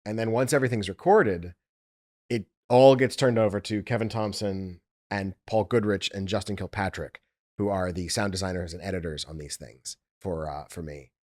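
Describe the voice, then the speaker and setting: A man's voice: sits low, fairly even intonation, moderate pace, darkish tone. A man, an audiobook producer, talking casually and explaining his work step by step.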